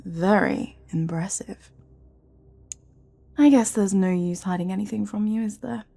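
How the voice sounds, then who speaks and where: A woman playing a scheming, smug evil royal advisor with magic in a fantasy audio drama, breathy and often near a whisper, taunting with mock courtesy. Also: medium pitch, mellow. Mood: sly, amused. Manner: theatrical, mock-courteous, taunting, drawn-out phrasing.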